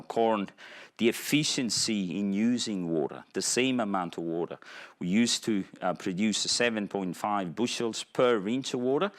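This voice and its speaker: A man from Italy speaks with a clear Italian accent, his voice sitting a little on the low side. He gives a public lecture as a professor, moving at a halting pace and pausing often to search for his words. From Italy (Naples). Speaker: university professor and researcher.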